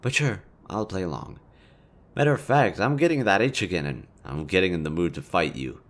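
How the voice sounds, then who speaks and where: A low-pitched, smug supervillain acted by a man talks straight to the listener in an audio roleplay. He is sardonic and casually sweary, with a theatrical taunting manner and a hidden caring side. Some background ambience sits behind the voice. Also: animated, a little fast, slight background noise. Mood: grudging concern, then cocky challenge. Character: smug male city supervillain, sardonic yet secretly caring.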